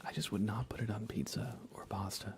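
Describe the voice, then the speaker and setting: An American man speaking in soft, breathy whispers, chatting casually and unhurriedly to viewers of an ASMR livestream. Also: on the low side, fairly even intonation, slight background noise. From United States. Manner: hushed, unhurried, conversational.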